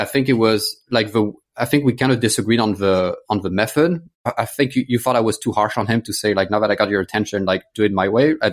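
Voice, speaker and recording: A man in his thirties from France talks casually and at length as a guest in a conversation, growing animated as he tells stories. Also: medium pitch. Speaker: interview guest.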